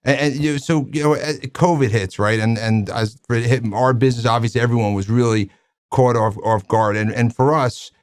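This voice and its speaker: A man hosting an interview, asking questions in a halting way with repeated words and many fillers. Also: mid-range voice, no room sound, studio quality. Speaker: interview host. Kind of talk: conversational interview.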